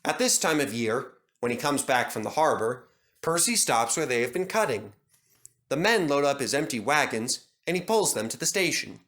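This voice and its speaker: A man narrating a children's train storybook in an animated storytelling manner. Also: mid-range voice, moderate pace.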